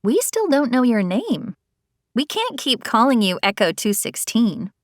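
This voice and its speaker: A woman voicing a gentle, curious young female AI companion, tentative and expressive, in an audiobook. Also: on the high side, a little slow, dry recording, studio quality. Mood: earnest, curious. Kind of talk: audiobook character dialogue.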